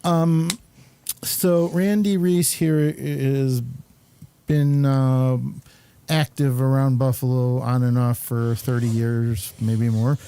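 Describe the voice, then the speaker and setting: A man hosts a casual interview. His voice is mellow and unforced, and he takes his time. His phrasing is halting and hesitant as he goes. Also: mid-range voice, flat delivery, clean tone. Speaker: show host and interviewer.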